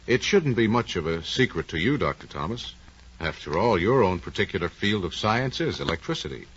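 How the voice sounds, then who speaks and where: A man's voice: sits low, very animated, fairly quick, fairly bright tone, breathy edge. A man with an American accent speaking as announcer and interviewer in a scripted 1940s radio program, with polished, folksy delivery. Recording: muffled, lo-fi 1940s radio recording.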